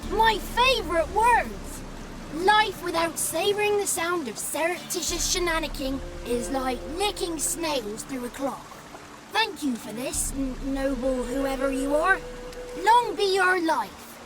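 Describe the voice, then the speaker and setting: A woman's voice: very high-pitched, lively intonation, measured pace. A woman voicing an impish little boy godling in a video game, mischievous and theatrical, relishing florid words. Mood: gleeful, savouring, then florid, cheeky.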